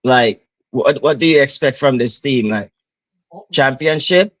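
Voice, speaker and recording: A man's voice: medium pitch, measured pace, fairly bright tone, muffled recording. A man hosting a casual chat, teasing his guests with slangy jokes and laughing. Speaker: host.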